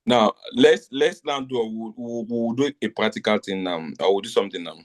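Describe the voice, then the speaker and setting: A man's voice: fairly low pitch, fairly quick. Man lecturing, checking understanding often. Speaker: tutor. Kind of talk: live online lecture.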